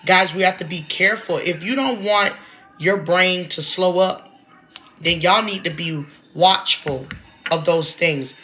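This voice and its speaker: A woman in her late thirties talks casually to her followers. Her voice is bright and a little congested. She often turns to address viewers directly as she goes. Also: on the low side, a little slow. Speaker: vlogger. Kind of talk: casual talk to camera.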